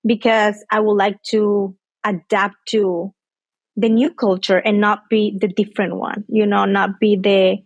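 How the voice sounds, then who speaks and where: A woman's voice: mid-range voice, level tone, measured pace, dry recording, highs cut off. Fields: Sex: woman. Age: 30s. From Mexico. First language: Spanish. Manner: chatty, with frequent fillers and laughs. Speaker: podcast guest. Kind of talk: casual interview chat.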